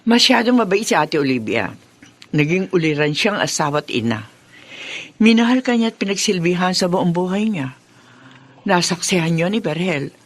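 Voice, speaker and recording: A woman's voice: fairly low pitch, big pitch swings, moderate pace. A woman playing a concerned, reasoning sister of a widower in a Filipino radio drama. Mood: concerned, pleading.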